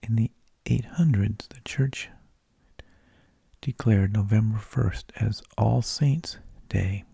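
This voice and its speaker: A man narrating in a soft, breathy ASMR whisper, with slow, deliberate phrasing, close to the microphone. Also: on the low side, no echo. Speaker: ASMR host.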